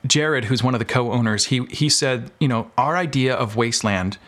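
A man's voice: mid-range voice, moderate pace, fairly bright tone. An American man talking casually and informally as a podcast guest, telling stories about his creative work. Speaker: podcast guest, audio drama producer. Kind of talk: casual interview chat.

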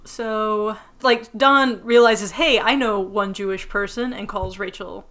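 A woman's voice: medium pitch, steady tone, unhurried, fairly bright tone. An American woman co-hosting a podcast, chatting casually about a TV episode with many fillers and frequent laughs. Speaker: podcast co-host. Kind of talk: casual chat recapping a TV episode.

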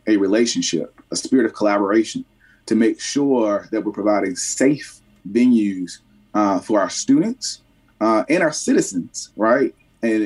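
A man, answering interview questions haltingly with many fillers and restarts. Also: fairly low pitch, a little mellow, recorded from a distance, poor audio. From Columbia, South Carolina. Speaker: mayoral candidate. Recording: voice somewhat off mic.

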